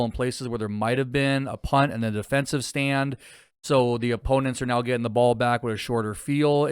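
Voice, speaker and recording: A man's voice: medium pitch, smooth, no echo, clean studio sound. A man co-hosting a sports betting podcast, chatting casually and joking around. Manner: easygoing, joking. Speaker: podcast co-host.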